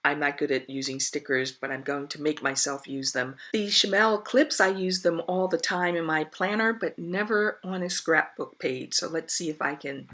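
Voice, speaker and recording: A woman's voice: deep voice, normal speed, few pauses. A woman with a stuffy nose who is partly losing her voice, chatting casually and rambling about her scrapbooking project. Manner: chatty and rambling, with fillers and soft laughs. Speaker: scrapbooking vlogger. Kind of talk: casual crafting chat.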